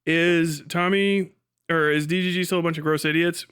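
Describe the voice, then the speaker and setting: A man with a fairly high, clear voice hosts a livestream in loose, chatty fashion. His delivery is bright and forward, peppered with swearing and dry, dismissive sarcasm. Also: fairly even intonation, moderate pace. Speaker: livestream host. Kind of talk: casual livestream chat.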